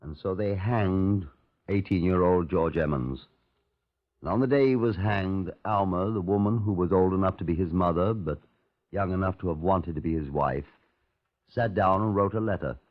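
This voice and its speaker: A dull vintage radio recording carries a male actor as a sober crime-file narrator. His voice is on the low side, and he tells the case formally and gravely in measured phrases. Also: close to an everyday voice. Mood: solemn. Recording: muffled old radio broadcast recording.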